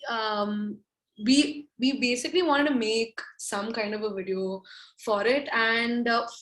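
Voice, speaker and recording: Chatty young woman, higher voice. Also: fairly even intonation, unhurried, a bit bright, poor audio. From India. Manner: chatty, meandering, laughs often. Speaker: singer-songwriter guest. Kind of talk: casual interview chat.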